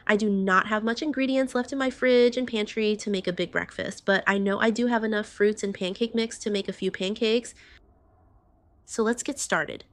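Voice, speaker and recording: A woman's voice: medium pitch, fairly even intonation, normal speed. A woman vlogger narrating a roleplay morning-routine video, chatting directly to her viewers. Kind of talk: voiced roleplay vlog narration.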